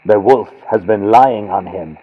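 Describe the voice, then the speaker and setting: A man's voice: on the low side, a little slow, slightly rough, voice pushed up. A man voicing a shaken, bewildered soldier full of superstitious dread, in a gothic audiobook with a vintage radio sound. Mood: uneasy dread. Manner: shuddering, uneasy. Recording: lo-fi built-in computer mic, hissy vintage-radio sound.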